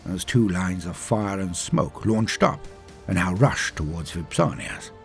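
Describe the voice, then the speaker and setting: Male lore narrator: husky, swooping dramatic delivery, emphatic and theatrical, light music bed. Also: sits low, animated, measured pace. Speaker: storyteller and lore channel host.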